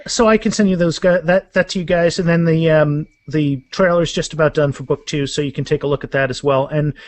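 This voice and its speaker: A man with a fairly high-pitched voice talks in an enthusiastic, chatty way. He sounds like an author being interviewed on a podcast, casual and conversational. The sound is dry, with little room around it. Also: fairly even intonation, fairly quick, no room sound.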